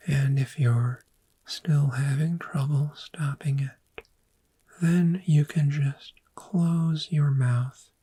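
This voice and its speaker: Breathy, very slow dragon therapist, acted by a woman. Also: deep voice, dark tone, exaggerated voice. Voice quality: breathy, whispery. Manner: slow, measured, reassuring. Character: gentle dragon therapist, soothing, encouraging, patient. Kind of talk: soft-spoken ASMR roleplay.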